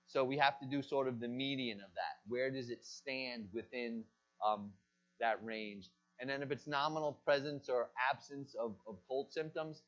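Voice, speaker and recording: A man's voice: mid-range voice, fairly bright tone. A man teaching a pharmacy class, recorded in a classroom. He asks the students questions and then answers them himself. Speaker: pharmacy college lecturer. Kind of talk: classroom lecture. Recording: dull lo-fi lecture recording.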